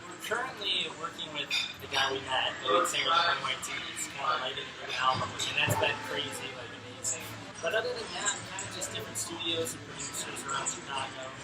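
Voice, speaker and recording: A man in his twenties from a band, answering interview questions in a relaxed way with many fillers. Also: a little high, quick pace, very noisy. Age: 20s. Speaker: band member. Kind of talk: casual interview. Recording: echoey room from far off, music behind.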